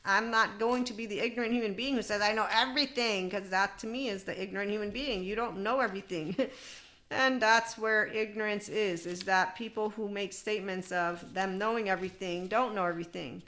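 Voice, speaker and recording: A woman's voice: medium pitch, sharp tone. A woman hosting a podcast, talking in a loose, digressive self-help monologue with frequent laughs. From United States. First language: English. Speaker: podcast host.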